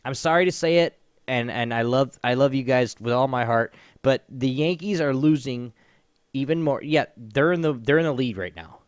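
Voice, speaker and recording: A man's voice: medium pitch, moderate pace, smooth, no room sound, studio quality. A man co-hosting a podcast, chatting about sports in a blunt, informal and emphatic way. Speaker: podcast co-host. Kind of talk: casual opinionated sports chat.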